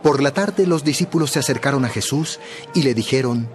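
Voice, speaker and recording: A man narrating a dramatized Bible story in a measured, expressive storytelling style. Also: medium pitch, soft background music.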